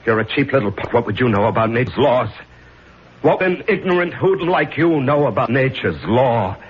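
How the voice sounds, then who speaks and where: An actor playing a stern, pompous older judge with madness in him, orotund and theatrical, in a 1970s radio drama. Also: male voice, medium pitch, expressive, fairly quick, natural voice. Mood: outraged. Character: stern older male judge, pompous, secretly mad killer. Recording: dull, noisy 1970s radio recording.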